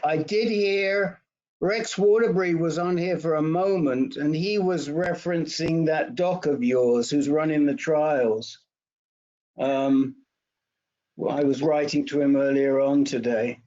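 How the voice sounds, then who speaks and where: A man's voice: a little high, warm tone, lo-fi sound. A man moderating a support group in a casual, chatty, joking way over an online call.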